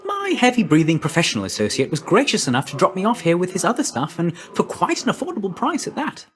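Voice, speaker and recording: A man's voice: sits high, huge pitch swings, fast talker, a bit bright, heavily acted. A man voicing a breezy, chummy young messenger god in a video game, with a slangy, glib, friendly manner.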